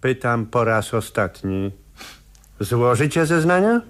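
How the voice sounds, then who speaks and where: Stern, weary police commissioner. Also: male voice, medium pitch, big pitch swings, takes it slowly, heavy background noise. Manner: firm, official, curt. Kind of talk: radio play acting.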